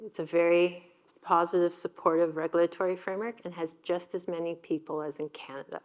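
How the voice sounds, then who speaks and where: Low-voiced woman panelist, brisk and informed. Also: flat delivery. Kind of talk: panel discussion.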